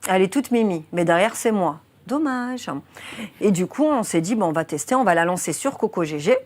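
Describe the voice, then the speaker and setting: A woman's voice: medium pitch, lively intonation, brisk, darkish tone. Earnest young woman journalist, careful wording. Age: 20s. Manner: earnest, careful with words, many fillers. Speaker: journalist guest. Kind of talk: interview explaining a criminal case.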